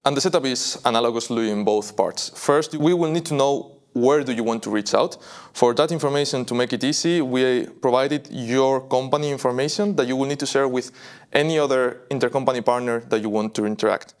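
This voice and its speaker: Young man demonstrating software. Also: mid-range voice, fairly quick. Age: young adult. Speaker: software product presenter. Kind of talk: live software demo walkthrough. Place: indoor video studio.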